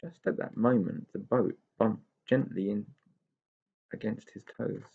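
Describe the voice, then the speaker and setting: Gravelly man reading a children's story. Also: lowish voice, measured pace, narrow, tinny audio.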